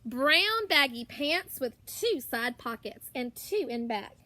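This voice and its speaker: A woman reading a children's picture book aloud as a teacher would, expressively, with drawn-out words and deliberate pauses. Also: sits high, sing-song, sharp tone. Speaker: primary school teacher.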